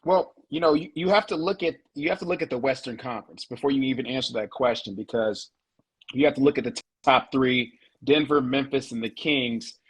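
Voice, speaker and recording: A man's voice: mid-range voice, fairly quick, slightly rough, rough recording. A man talking sports as a guest on a casual show, laid-back, often repeating words as he works out his point.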